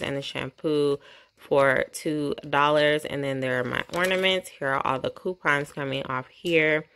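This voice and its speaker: A woman's voice: deep voice, creaky, fairly bright tone, smooth. A woman vlogging a couponing haul at home, chatting casually as she reads off prices, coupons and totals into a handheld phone camera. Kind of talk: casual shopping haul walkthrough.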